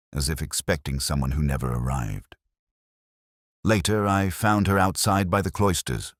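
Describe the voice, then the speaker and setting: A man playing a world-weary, observant middle-aged detective, narrating a mystery in a measured, literary, reflective way. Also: very deep voice, no echo, studio quality. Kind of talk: scripted first-person mystery narration.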